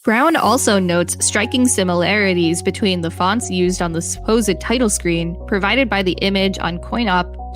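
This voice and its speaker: A woman reads an encyclopedia entry aloud as a podcast co-host, careful and measured. Her pitch is ordinary for a woman. Soft music sits faintly behind her. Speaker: podcast co-host reading an article. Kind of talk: reading an encyclopedia article aloud.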